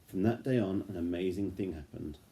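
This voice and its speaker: A man with a very deep, dark and mellow voice reads a picture book to a child. His delivery is gentle and engaging. He often pauses the story to put simple questions to the young listener.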